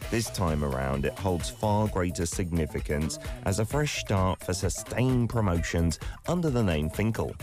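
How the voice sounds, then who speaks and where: A man's voice: low voice, expressive, normal speed, few pauses, over music. A man whose first language is Korean, hosting a radio show: he reads entertainment news from a script and chats casually between items with an easygoing, chatty manner. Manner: easygoing, chatty asides with fillers. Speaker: radio host. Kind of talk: scripted news reading and casual on-air chat.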